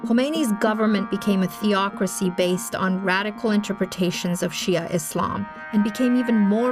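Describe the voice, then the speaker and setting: Woman reporter from afghanistan, deliberate podcast narration, steady mid voice. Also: medium pitch. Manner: measured, deliberate delivery with pointed emphasis. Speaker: investigative reporter and host. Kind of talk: scripted documentary narration.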